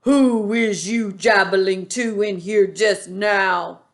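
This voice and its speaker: A woman reading a storybook aloud, voicing a greedy, brutish male giant who shouts and bullies in a slow, heavy voice. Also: mid-range voice, natural voice. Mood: booming menace.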